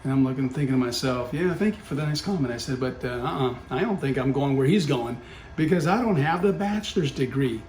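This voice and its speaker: A man's voice: medium pitch, animated, a little fast, a little mellow. Rambling man telling a life story. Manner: rambling with many fillers and self-corrections. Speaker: podcast guest, company founder. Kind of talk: casual storytelling. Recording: roomy echo with faint background hum.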